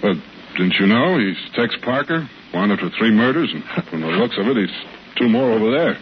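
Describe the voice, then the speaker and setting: An actor playing a brisk, steady police officer, terse and matter-of-fact, in 1950s radio drama. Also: male voice, on the low side, lightly acted. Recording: muffled, noisy old radio recording.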